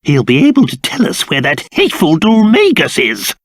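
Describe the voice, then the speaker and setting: A man's voice: a little high, huge pitch swings, moderate pace, no room sound, studio quality. A man voicing a fussy, haughty old cursed king, a creature and doting father in a video game, with a pompous, theatrical, exclamatory manner. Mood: exasperated. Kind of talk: video game character voice acting.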